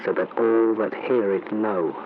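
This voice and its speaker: A man's voice: fairly low pitch, fairly even intonation, natural voice. An actor playing a grave, shaken male war reporter giving a solemn, formal eyewitness account in a 1930s radio broadcast style. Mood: grim, urgent. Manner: solemn, deliberate, formal narration. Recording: noisy, muffled vintage radio recording.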